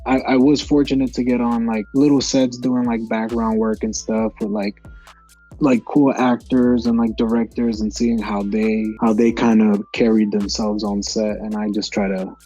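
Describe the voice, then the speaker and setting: Young male actor, 20s, relaxed interview talk, husky tone, dull recording. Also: fairly low pitch, moderate pace, faint music behind. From Massachusetts. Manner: relaxed, many fillers and restarts. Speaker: actor guest. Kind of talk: casual interview answers.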